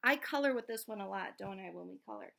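A woman in her thirties or forties reading a picture book aloud to young children, sing-song and expressive, often asking questions. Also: medium pitch, quick pace, vocal fry. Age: 30s or 40s. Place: small indoor room at home.

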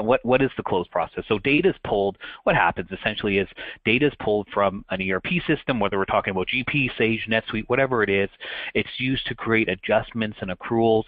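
A man's voice: lowish voice, no room sound. A Canadian man in his thirties presenting a business webinar in a conversational way, with frequent fillers and restarts. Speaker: channel account manager presenting a webinar. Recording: dry, muffled web call audio.

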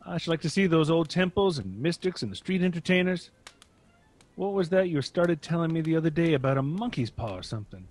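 A man's voice: fairly high pitch, lively intonation, brisk, a little mellow, faint music behind. An actor playing a genial, curious older father coaxing his old friend in a chummy way, in a radio drama.

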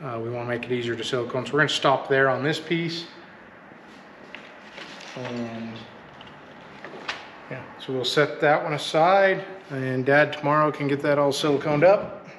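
A man's voice: medium pitch, moderate pace, long pauses. Man talking in an echoey shop. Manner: relaxed, rambling, many ums while working. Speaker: workshop video host. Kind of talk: casual hands-on how-to talk. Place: garage workshop. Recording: echoey shop with loud background noise.

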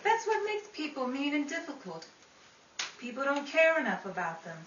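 A woman's voice: sits high, wildly swooping pitch, normal speed. A woman playing a dreamy, tender, melodramatic film-struck 1950s lady in a radio drama. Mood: wistful, dramatic. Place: small indoor room staged as radio studio. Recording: muffled echoey noisy room, distant mic.

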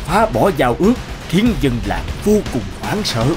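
Man narrating an animated folk tale. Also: a little high, unhurried, over music. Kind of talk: scripted storybook narration.

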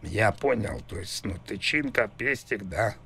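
Low gravelly man, rambling and halting. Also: lively intonation, pushed and bright. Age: 50s. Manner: rambling, halting, innuendo-laden, insistent. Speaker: prank caller. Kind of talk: casual phone prank conversation.

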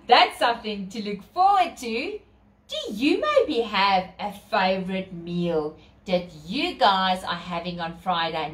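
Theatrical South African woman, very animated storyteller. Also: medium pitch. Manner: theatrical, exaggerated, sing-song emphasis. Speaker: children's storyteller. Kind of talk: reading a picture book aloud to children, with asides. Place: small bedroom, indoors. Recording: roomy echo from camera distance.

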